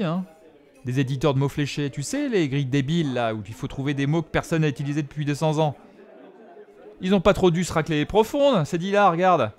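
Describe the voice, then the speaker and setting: Man as grumbling higher-voiced bar regular. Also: lightly acted. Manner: slangy, rambling. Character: grumbling, opinionated male bar regular, slangy.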